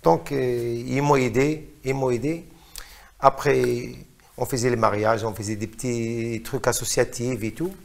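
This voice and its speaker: Man, middle-aged, from Morocco, gravelly voice, halting, repeats words, casual interview. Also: medium pitch, steady tone. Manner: hesitant, repeats words, searches for phrases. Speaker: singer.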